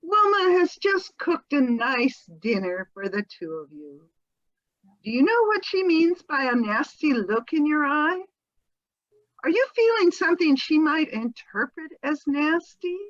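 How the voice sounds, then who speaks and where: A woman playing a patient, probing marriage counselor in a comedy sketch, measured and full of mediating questions. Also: high voice, sing-song. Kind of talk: readers theater comedy sketch. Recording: dull lo-fi sound.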